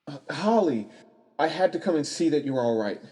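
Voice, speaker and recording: A man's voice: fairly high pitch, expressive, a little fast, dark tone, slightly rough. A man in a radio play, playing an earnest and devoted young amateur sleuth. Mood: earnest, urgent.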